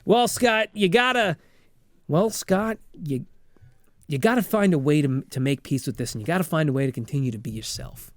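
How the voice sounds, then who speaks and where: A man playing a patient father giving halting advice in a film recap. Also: on the high side, dry recording, clean studio sound, close to an everyday voice. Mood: patient advising. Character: patient, advising father of a teenage boy.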